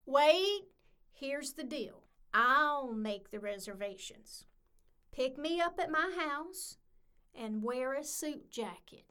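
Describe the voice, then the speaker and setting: A woman's voice: high-pitched, expressive, slow pace, fairly bright tone, full character voice. A woman playing a brash, bossy, jealous young-spirited Southern woman with a hot temper in an audio drama. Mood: exasperated, bossy.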